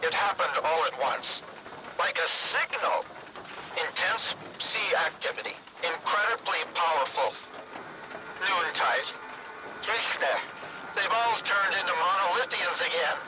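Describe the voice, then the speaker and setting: A scared professor radioing for help in a video game. His voice is high and comes in slow, halting, pleading bursts through heavy background noise. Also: lively intonation. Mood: panicked. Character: frightened male professor calling for help. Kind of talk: video game cutscene acting.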